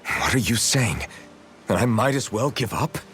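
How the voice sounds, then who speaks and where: An actor playing a guilt-ridden young male warrior in a video game, speaking gravely and with self-reproach. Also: mid-range voice, animated, moderate pace, fairly bright tone, soft background music, close to an everyday voice. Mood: bitter doubt. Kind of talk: dramatic video game voice acting.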